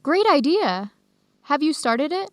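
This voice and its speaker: A woman's voice: sits high, sing-song, unhurried, dry recording. An actress playing a curious, friendly young woman researcher in an educational radio drama. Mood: curious, upbeat.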